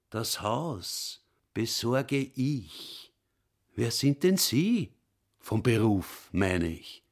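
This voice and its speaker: A burly retired Viennese policeman, now a caretaker, in a radio play. He talks slowly and fairly high, with crude, pompous bluster in coarse dialect. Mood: pompous, self-important. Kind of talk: radio drama acting.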